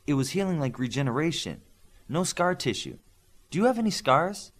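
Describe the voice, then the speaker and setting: A man reads as a young superheroine medic in an audiobook. She is brisk and bossy, her raised voice rising and falling freely as she explains and scolds in a clinical way. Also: fairly high pitch, sing-song, voice pushed up. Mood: intrigued.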